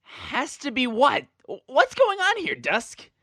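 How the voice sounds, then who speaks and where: A brash young pegasus stallion from an audio story, acted by a woman, boastful and slangy. The voice sits high and swings widely in pitch. It is recorded very dry and close. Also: huge pitch swings, takes it slowly, a bit bright, no echo, exaggerated voice. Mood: puzzled. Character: brash, cocky young male pegasus pony. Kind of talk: character acting in a fan-fiction audio story.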